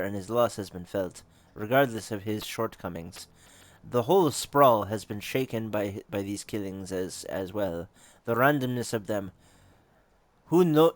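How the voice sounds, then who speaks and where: A man's voice: on the low side, no room sound, natural voice. A man voicing a formal, regretful woman with a mock Russian accent in a tabletop roleplaying game.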